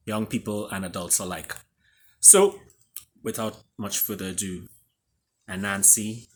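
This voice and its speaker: A man with a Trinidadian accent, an author and storyteller speaking theatrically, with booming emphasis and hushed drops. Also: a little hiss or hum. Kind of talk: dramatic storybook reading and introduction.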